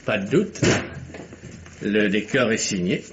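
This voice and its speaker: An actor playing a curious, genial amateur detective who muses aloud in a mid-century French radio mystery. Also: male voice, lowish voice, animated, slow pace. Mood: musing, amused. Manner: musing, chatty, punctuated with hums and repeated words. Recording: dull, noisy old radio recording.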